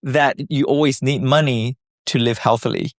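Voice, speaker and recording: A man's voice: medium pitch, normal speed, no echo, studio quality. A man in his thirties from Malaysia, a scientist guest on a podcast, explaining earnestly with some fillers and restarts. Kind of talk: podcast interview.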